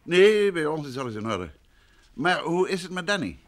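A man's voice: fairly high pitch, sing-song, normal speed. An actor playing a courteous, self-assured male detective in an old Dutch radio play. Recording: vintage radio recording with noise.

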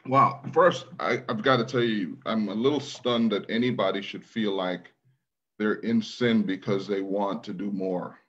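A middle-aged man from Guyana, raised in Brooklyn, speaking as an interview guest over a video call, thoughtful and measured with restarts. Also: lowish voice, steady tone, fairly bright tone, lo-fi sound. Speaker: guest, leadership trainer. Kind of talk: conversational interview.

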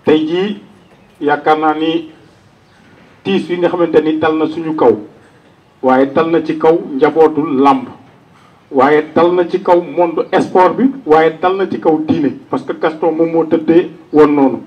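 A man's voice: on the high side, steady tone, measured pace, pauses often, dull audio. Senegalese man giving a tribute, distant sound. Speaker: eulogist. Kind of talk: public funeral tribute. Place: outdoor funeral gathering. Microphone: handheld-type microphone on a stand.

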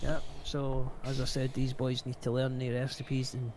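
A man's voice: mid-range voice, completely flat delivery, normal speed, a little mellow, low-quality recording. A man with a blunt, sweary way of talking, chatting casually in character in an online roleplay game. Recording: game voice chat with clear background noise.

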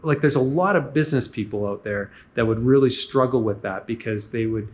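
A man's voice: medium pitch, fairly quick, a little mellow. Upbeat talkative man hosting a gym tour. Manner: upbeat, talkative, summarizing with frequent "right?". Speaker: podcast host. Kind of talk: casual interview on a walking tour. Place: large indoor gym.

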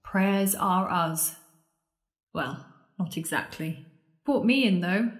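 A woman's voice: medium pitch, takes it slowly, close to an everyday voice. A woman playing a sardonic, frightened penitent at the world's end in an audio drama, babbling and joking wryly out of nerves. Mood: scared, wry. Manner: babbling, wry, joking nervously. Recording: echoey room.

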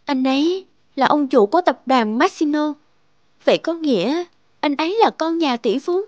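A high-voiced, earnest young girlfriend. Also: lively intonation, moderate pace, no room sound, highs cut off. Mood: wistful. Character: gentle, insecure young working-class girlfriend. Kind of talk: animated drama dubbing. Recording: music under the voice.

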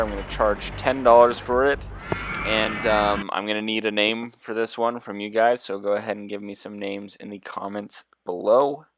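A young man talks through his gameplay in a deep, quiet voice that sits a little low. He rambles in a relaxed way, thinking aloud and padding with fillers as he goes. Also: noisy background. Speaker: gameplay video host. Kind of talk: casual gameplay commentary.

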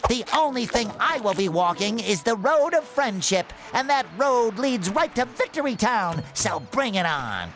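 A man's voice: high voice, big pitch swings, a little slow, few pauses, music in the background. A man voicing a cheery, naive, childlike sea sponge hero, earnest and chirpy in a cartoon video game. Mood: plucky.

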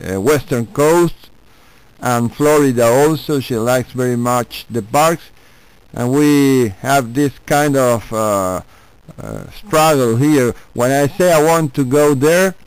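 A man's voice: medium pitch, slow pace, clear, resonant tone, dry recording, low-quality recording. A man from Argentina with an Argentine Spanish accent, chatting casually and amiably as an amateur radio operator. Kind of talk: casual chat over ham radio.

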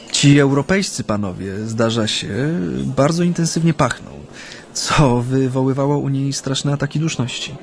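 A man's voice: medium pitch, close to an everyday voice. An actor playing a genial Japanese man reminiscing hesitantly and reflectively about his life, in a conversational radio drama. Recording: studio radio play with music.

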